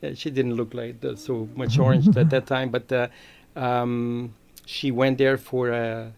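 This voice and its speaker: Middle aged guy being interviewed, fry in his voice, stop and start english. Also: medium pitch, little pitch movement, darkish tone. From Brazil. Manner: halting, non-native phrasing. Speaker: interview guest. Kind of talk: conversational personal storytelling.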